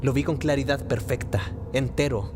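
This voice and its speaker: A man playing a nervous, obsessive madman confessing a murder in a theatrical, deliberate radio play monologue. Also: mid-range voice, steady tone, background noise, lightly acted. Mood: tense, obsessed. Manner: theatrical, deliberate, halting phrasing. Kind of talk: dramatic radio play monologue, gothic horror narration.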